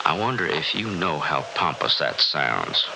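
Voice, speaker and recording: A man's voice: rumbling low, big pitch swings, a little slow, creaky, full character voice. An actor playing a mature, hearty, teasing Texas businessman in a 1960s radio drama. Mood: teasing, defensive. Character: mature, breezy Texas businessman, impatient with high society. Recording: noisy 1960s radio recording.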